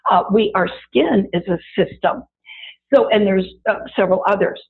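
Female wellness teacher, gravelly from recent illness, talks straight to listeners, thin phone-line sound. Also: medium pitch, moderate pace. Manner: warm, chatty, addresses listeners directly. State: raspy voice after illness. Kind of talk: guided body-awareness talk. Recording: tinny phone-like audio.

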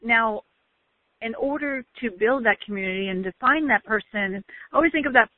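A woman giving a motivational talk with a loud voice, stretching out key words for emphasis. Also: on the high side, no room sound. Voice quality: loud, bellowing voice. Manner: emphatic, drawn-out stresses. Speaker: motivational speaker. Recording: tinny phone-like line, dry.

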